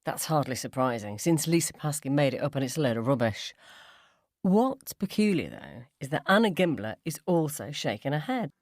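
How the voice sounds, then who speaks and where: Lowish woman telling a story, wry and chatty, voice moves around a lot, really dry recording. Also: big pitch swings, moderate pace, darkish tone, no echo. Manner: wry, conversational, sardonic. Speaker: story narrator. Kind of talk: dramatic first-person short story reading.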